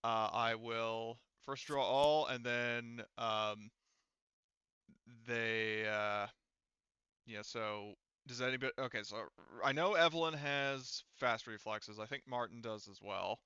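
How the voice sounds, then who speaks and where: Bright, clear-voiced male game master. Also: medium pitch, dry recording, lo-fi sound. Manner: halting, self-correcting explanations. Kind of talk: running a tabletop roleplaying game, casual.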